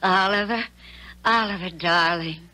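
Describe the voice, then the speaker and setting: An actress playing an affectionate young fiancée in a 1970s radio drama, coaxing and dramatic. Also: female voice, on the low side, measured pace, pushed and bright, poor audio. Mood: anxious, tender.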